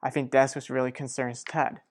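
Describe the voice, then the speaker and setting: A frank, steady family friend in a soap opera radio play, a man voiced by a woman. The voice is strikingly low for a woman and speaks with serious, measured reassurance. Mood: grave, measured.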